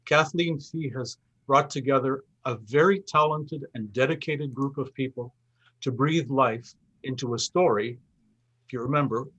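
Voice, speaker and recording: Slightly husky male event host, formal delivery. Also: medium pitch, a bit bright, muffled recording. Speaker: host giving closing thanks at a festival event. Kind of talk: scripted closing remarks.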